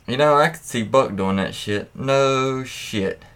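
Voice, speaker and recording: A man's voice: medium pitch, slow, fairly bright tone, clear, resonant tone. A man hosting a casual story read-aloud, speaking candidly and crudely with his own reaction asides. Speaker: host reading stories aloud with commentary.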